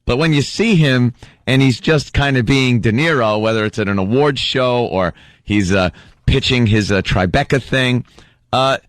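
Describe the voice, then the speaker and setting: A man's voice: medium pitch, normal speed, no room sound. A man bantering casually as co-host of a radio talk show, on a close studio mic.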